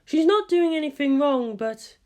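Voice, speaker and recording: A woman voicing a measured, world-weary senior female scientist in an anime story, with a dry, didactic manner. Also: high-pitched, lively intonation, mellow, pitched above the performer's own voice. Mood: measured appraisal. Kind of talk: anime fan fiction character voice.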